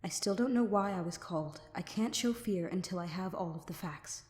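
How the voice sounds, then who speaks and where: A woman's voice: on the low side, fairly quick, very noisy, lightly acted. Woman acting a guarded, loyal female knight. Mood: anxious. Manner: formal, composed, coolly defiant. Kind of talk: fantasy audio roleplay acting.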